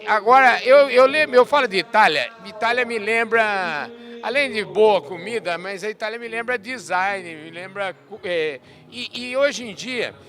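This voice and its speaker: A man's voice: high-pitched, moderate pace, a bit bright. A man hosting an interview show, covering a convention with enthusiastic, rambling questions and frequent repetitions. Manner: enthusiastic, rambling, repeats himself. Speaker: podcast host. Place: outdoors at a convention.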